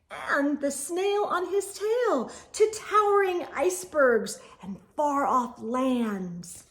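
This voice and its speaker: A woman storyteller reading a children's picture book aloud in a dramatic, expressive way for young listeners. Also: high voice. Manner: dramatic, drops into whispers, addresses young listeners.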